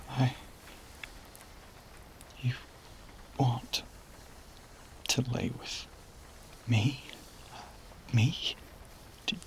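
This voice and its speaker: A brooding, lonely vampire gentleman of the American South, played by a man for an intimate audio roleplay. He speaks slowly and haltingly in a Southern accent. His breathy voice often sinks into a whisper as he wrestles with his hunger. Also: medium pitch. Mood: wary, incredulous. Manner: halting, hesitant, drawn-out phrases.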